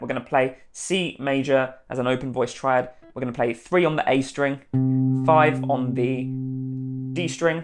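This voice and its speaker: Male online guitar tutor, mid-pitched, methodical step-by-step delivery, guitar music audible around the voice. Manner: step-by-step, spelling out instructions. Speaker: guitar teacher, channel host. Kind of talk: instructional guitar tutorial to camera. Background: guitar playing.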